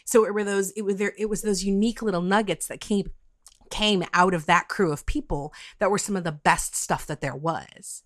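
A middle-aged woman interviewing in a casual, conversational way, halting and repeating words as she thinks aloud. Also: mid-range voice, a bit bright, dry recording, clean studio sound. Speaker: podcast interviewer.

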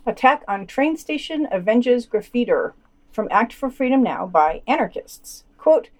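A woman's voice: fairly high pitch, animated, measured pace. A woman hosting a podcast, reading scripted news items and quotations with dry, sardonic commentary.

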